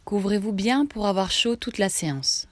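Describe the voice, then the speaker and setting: A woman guiding a relaxation meditation, giving instructions in short phrases with pauses between them. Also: mid-range voice, lively intonation, no echo. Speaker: yoga nidra meditation guide.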